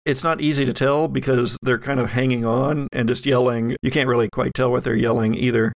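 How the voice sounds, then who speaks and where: A man runs a tabletop horror game in a creaky, somewhat low voice. He improvises scenes vividly, feeling his way through them haltingly. The sound is close and dry. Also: fairly even intonation, no echo. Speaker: game master and podcast host.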